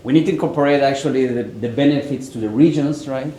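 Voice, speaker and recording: A man's voice: medium pitch, fast, warm tone. A Chilean-accented man, a native Spanish speaker, presents a research project in a roomy conference hall with a faint hiss behind him. His delivery is conversational, peppered with "right?" and "actually". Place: large echoey conference room. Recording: echoey hall with faint noise.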